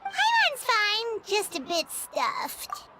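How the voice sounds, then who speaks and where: A woman voicing a tiny, childlike fairy companion in an anime game, cheerful and eager with a squeaky voice. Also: wildly swooping pitch, drawn-out words, very noisy, exaggerated voice. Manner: speaks of self in third person, exclaiming.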